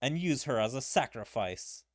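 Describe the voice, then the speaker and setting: A man's voice: mid-range voice, lively intonation, normal speed, dry recording, natural voice. A man voicing a scheming, coldly sinister male court physician in a game, musing in calculating monologue.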